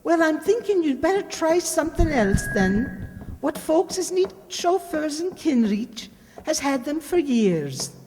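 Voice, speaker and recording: Woman as Scottish cart driver, high voice, animated pitch, folksy, frank, musing, vintage radio play. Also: a little slow, in a large hall, slight background noise. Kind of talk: 1940s radio acting.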